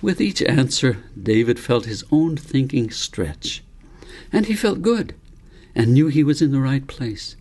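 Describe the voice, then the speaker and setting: A man's voice: medium pitch, very animated, unhurried, darkish tone. A man narrating his own novel as a storybook reading.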